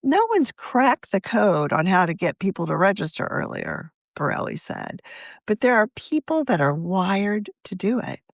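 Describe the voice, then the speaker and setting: Female narrator, lively pitch, measured article read, very dry recording. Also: no echo. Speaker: magazine editor reading own article.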